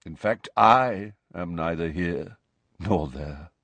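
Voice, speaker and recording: A mournful old-fashioned gentleman ghost, acted by a male narrator for a storybook. He speaks slowly and softly, low in pitch, with formal, hesitant phrasing. His manner is resigned, gentle and evasive. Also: no room sound, heavily acted. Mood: wistful. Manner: slow, hesitant, sighing, formal and quiet. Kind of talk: audiobook character voice.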